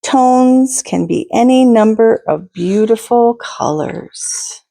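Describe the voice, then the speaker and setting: A woman voicing a kind, encouraging schoolteacher in a storybook, speaking in a measured, reassuring way. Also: a little high, creaky, mellow. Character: kind schoolteacher, gentle and encouraging, echoing her pupil.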